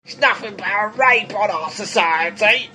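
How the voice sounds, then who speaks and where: Indignant female campaigner, acted by a woman: forceful, sharp, vehement ranting for a parody TV interview. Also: medium pitch, moderate pace, natural voice. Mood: outraged. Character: outraged, indignant woman campaigning against television.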